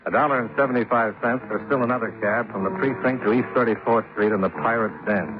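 A man playing a brisk, level-headed investigator in a 1950s radio detective drama, clipped and matter-of-fact. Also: medium pitch, natural voice. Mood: dry narrating. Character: brisk, level-headed male private insurance investigator narrating his case. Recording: narrow-band 1950s radio recording, music behind.

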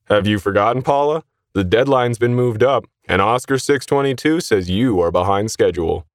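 Man putting on a smug, pushy manager, pitched up. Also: normal speed, no room sound, clean studio sound, natural voice. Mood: scolding. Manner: condescending, insistent. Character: smug, pushy male office shift manager.

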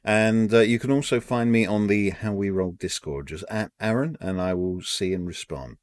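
Low-voiced man, dry close sound. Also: moderate pace, no echo. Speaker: player and guest. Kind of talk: casual chat.

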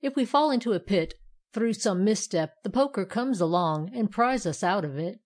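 Animated andiron creature, male, playful tease from a children's tale, brisk and exclamatory , voiced by a woman. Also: medium pitch, lightly acted. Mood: confiding. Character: lively, teasing living andiron creature with paws, male.

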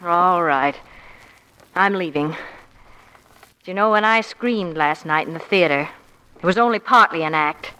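Tense, bright-voiced uneasy woman. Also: lowish voice, measured pace, long pauses, clear, resonant tone, rough recording. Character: uneasy, questioning hired woman. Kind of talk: 1940s radio acting.

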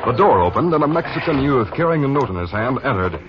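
A man's voice: medium pitch, big pitch swings, fast. A man narrating a western story in a formal, dramatic 1950s radio style. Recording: dull old radio broadcast, music behind.

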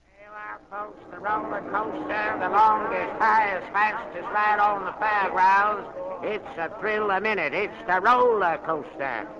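A man's voice: high voice, steady tone, unhurried. A man acting as a fairground roller coaster barker in a 1940s radio play, calling out a sales spiel. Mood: showy. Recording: dull radio broadcast, loud crowd behind.

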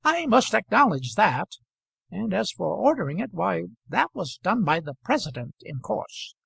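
Bloke putting on a high squeaky fussy salesman, touchy and pleading, bit raspy. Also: very animated, normal speed, a bit bright, no room sound, exaggerated voice. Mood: placating.

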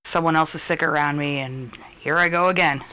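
Relaxed woman with a bright voice. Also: deep voice, fairly quick, clear, resonant tone, rough recording. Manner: relaxed, swears casually, laughs along. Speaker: podcast co-host. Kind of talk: casual chat, reading personal ads aloud.

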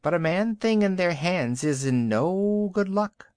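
A man voicing a huge, proud, scornful rock python in a storybook, with haughty, deliberate, archaic speech. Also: high-pitched, animated, darkish tone, no room sound, clean studio sound, pitched above the performer's own voice.

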